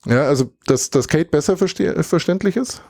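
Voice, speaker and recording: A man's voice: on the high side, expressive, normal speed, creaky, darkish tone, dry recording. A man from Germany chatting casually as a podcast co-host, thinking aloud and often searching for words as he goes. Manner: thoughtful, rambling, searches for words mid-sentence. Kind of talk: casual conversational recap.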